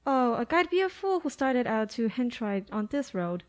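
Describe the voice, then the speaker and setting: A woman's voice: on the high side, big pitch swings, normal speed. A woman playing a folksy, plain-spoken rural gas station attendant in a radio drama, chatting colloquially. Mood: matter-of-fact.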